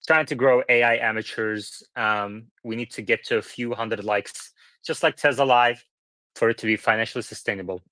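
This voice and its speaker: A man's voice: medium pitch, steady tone, normal speed, bright, forceful tone. A man moderates a live online panel in a mid-range voice. He speaks as a host, passing questions around to his guests, with a dry sound free of room echo. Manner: directs questions to guests by name.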